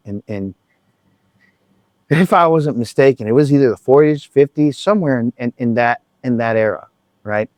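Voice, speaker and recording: A man's voice: mid-range voice, darkish tone, no room sound. A man telling a concert story in a casual, rambling vlog chat, full of restarts and asides. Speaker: vlogger.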